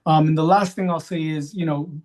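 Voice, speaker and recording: Reflective man with a higher-set voice. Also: steady tone, darkish tone. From Queens, New York, USA. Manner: reflective, halting, punctuated with "right?". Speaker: panelist, community organizer. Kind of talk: unscripted panel talk.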